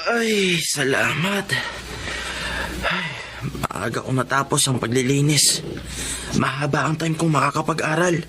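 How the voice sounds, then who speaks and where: A man's voice: fairly high pitch, a little slow, long pauses, background noise, close to an everyday voice. A man playing a humble, determined young working student in a drama, polite, meek and patient. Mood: uneasy. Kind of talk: Filipino radio-style drama acting.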